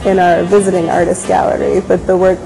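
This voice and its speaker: A woman's voice: lowish voice, level tone, a little fast, low-quality recording. A young woman, talking casually about her glass studio in an interview, with plenty of fillers and restarts. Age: young adult. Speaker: glass studio staff member. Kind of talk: on-camera interview about a venue. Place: large indoor gallery space. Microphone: lapel mic. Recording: music bed behind the voice.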